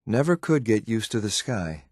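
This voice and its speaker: A grim synthetic soldier from a video game, with a low voice in a dry, close recording. He speaks in clipped, deadpan phrases with cold disdain for the world around him. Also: moderate pace, no echo. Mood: cold, disdainful. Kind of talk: scripted video game companion lines.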